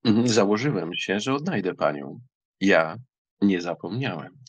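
A man's voice: deep voice, animated, a little slow, breathy edge, no room sound, heavily acted. An actor playing a proud, audacious young count in an audiobook, suave and insistent as he courts a lady. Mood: teasing.

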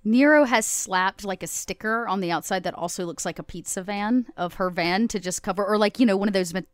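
A woman's voice: mid-range voice, fairly quick, dry recording. A woman playing a tabletop roleplaying game, improvising actions in a chatty, informal way. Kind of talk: casual improvised game play.

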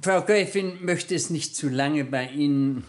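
A man's voice: on the high side, a little slow, a little mellow, lightly acted. Man as a stiff, pompous old manservant. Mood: reverent, formal. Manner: formal, deferential, pompous. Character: stiff, reverent old male servant of a countess. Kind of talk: 1960s radio play acting.

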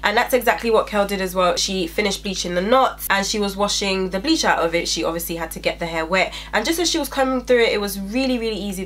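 A woman's voice: medium pitch, a bit bright. A young woman, congested from a cold, chatting upbeat and directly to viewers in a casual review from a small room at home. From England. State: congested, stuffy-nosed from a cold. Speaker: beauty vlogger.

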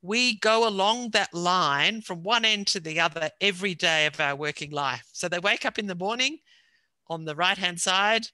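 A woman's voice: on the low side, moderate pace, sharp tone, no room sound. An older woman explaining ideas earnestly on a video call from her home study, with frequent ums. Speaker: guest speaker. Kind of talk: lecture with audience questions. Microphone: headset on video call.

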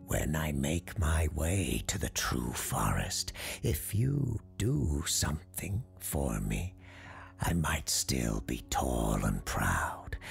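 A storyteller voicing an ancient, wronged Christmas tree spirit in a slow, breathy whisper, menacing, mournful and accusing, in a spooky story. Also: a bass voice, voice pushed down, full character voice. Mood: grave, mournful. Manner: slow, drawn-out, solemn and formal.

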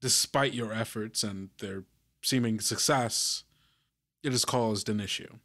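Slow-paced, low-voiced man narrating. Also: pushed and bright. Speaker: game master. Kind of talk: narrating a tabletop game.

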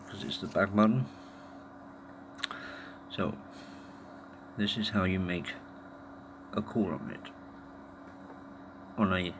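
A man giving a casual, halting hands-on demonstration, muttering to himself in an informal way. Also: sits low. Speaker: tech video maker. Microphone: handheld phone or camera held over the device. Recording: noisy amateur handheld camera recording.

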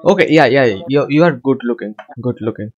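A man's voice: mid-range voice, fairly quick, dull audio. A young man in his teens from India with an Indian accent, chatting casually and hesitantly, repeating his phrases. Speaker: host of English conversation chats. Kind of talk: casual chat. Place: small room with shelves. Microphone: headset on video call.

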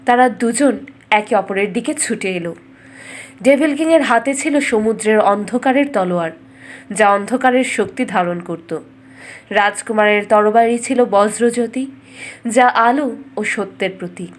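A woman storyteller giving a scripted, dramatic fairytale narration in measured, expressive phrases. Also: medium pitch.

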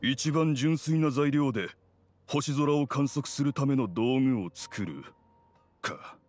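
A man voicing a gruff, proud blacksmith in a video game, speaking in a blunt, measured, rough-spoken way. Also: mid-range voice, a little mellow. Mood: skeptical. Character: gruff, proud, no-nonsense male blacksmith craftsman. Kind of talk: Japanese video game voice acting. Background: background music.

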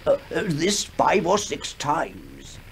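A man acting a shaken, formal witness recounting horrors haltingly in a 1960s radio horror drama. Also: a little high, wildly swooping pitch, vocal fry, breathy edge, heavily acted. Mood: grave, haunted. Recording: noisy 1960s radio recording.

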